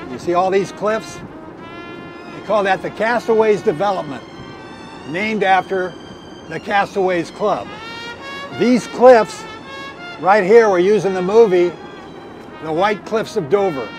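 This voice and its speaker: A man's voice: high-pitched, a little slow, long pauses, over music. An older American man around his seventies, talking as a folksy harbor tour guide and reminiscing in an informal way. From Southern California, United States. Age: older, around 70s. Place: outdoors on a small moving boat in a harbor.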